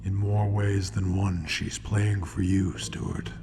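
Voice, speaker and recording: Casting: male actor as wry, ominous host of a radio murder anthology, deep and creaky, theatrical pacing. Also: little pitch movement. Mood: wry, knowing. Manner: slow dramatic pauses, ominous, wry. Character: ominous, sardonic male narrator of true-crime murder tales. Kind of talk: old-time radio mystery narration.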